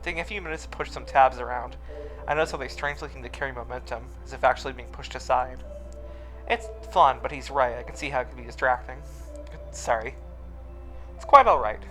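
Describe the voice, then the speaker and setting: A man's voice: mid-range voice, fairly even intonation, fast, fairly bright tone, rough recording. A man reading a visual novel aloud, switching between story narration and casual asides. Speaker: reader of a visual novel let's play. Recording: music under the voice.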